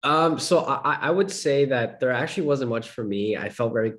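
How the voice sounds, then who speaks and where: A male actor on a video call, talking in a relaxed, playful, self-described goofy way. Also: medium pitch, brisk.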